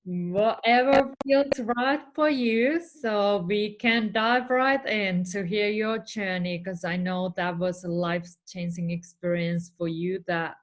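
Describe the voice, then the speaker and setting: A woman hosting an interview show, speaking in a gentle, encouraging way. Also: mid-range voice, slow pace, hardly any pauses, poor audio. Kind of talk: scripted show intro and interview.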